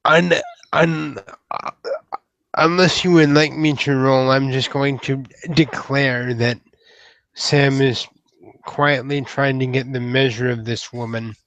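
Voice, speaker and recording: A man's voice: mid-range voice, flat delivery, a bit bright, dry recording, poor audio. A man speaking casually and hesitantly as a player in a tabletop roleplaying game. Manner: hesitant, halting.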